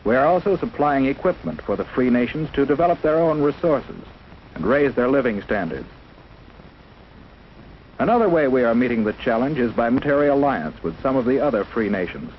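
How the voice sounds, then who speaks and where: An American man narrates in the scripted style of a 1950s instructional film. His medium-pitched voice is formal and solemn, stating each line declaratively. The sound is a dull old film track with faint background noise. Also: lively intonation, a little fast.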